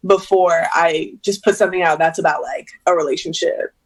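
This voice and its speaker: A woman's voice: lowish voice, steady tone, brisk. Chatty young woman, lots of "like". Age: 20s. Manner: chatty, hesitant, many "like"s, giggly. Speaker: guest singer. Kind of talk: casual interview chat.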